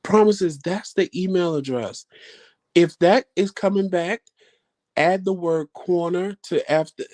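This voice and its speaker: A man's voice: sits high, unhurried, darkish tone. A man hosting a vlog live stream, chatting casually with viewers in a rambling, folksy way. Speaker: vlog host answering live chat.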